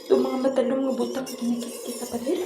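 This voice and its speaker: A woman with a Western Visayan Filipino accent playing a terrified, weary daughter in an amateur radio horror drama, speaking in halting exclamations. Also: sits high, flat delivery, heavy background noise. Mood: frightened, pleading. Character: terrified, weary daughter alone in a haunted house. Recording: echoey room with music bed.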